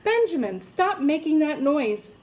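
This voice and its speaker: A woman's voice: high-pitched, sing-song, measured pace, darkish tone, pitched above the performer's own voice, low-quality recording. A woman voicing a harried but self-assured woman schoolteacher in a storybook, firm and emphatic. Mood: scolding.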